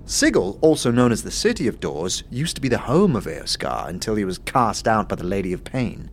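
A man playing an eccentric, zealous disciple of a portal god in a fantasy audio drama, theatrical and halting, by turns grand and flustered. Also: fairly low pitch, very animated, creaky, a little hiss or hum.

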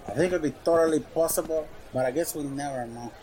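A man's voice: on the high side, quick pace, mellow, close to an everyday voice. A man playing a laid-back, confident comic-book fan in an audio drama. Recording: noisy track with clicks.

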